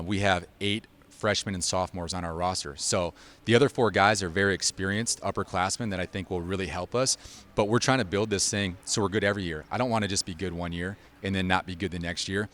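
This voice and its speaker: A man's voice: sits low, fairly bright tone. A man speaking as a college coach in a sit-down sports interview, explaining things in a plain, informal way. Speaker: college basketball head coach.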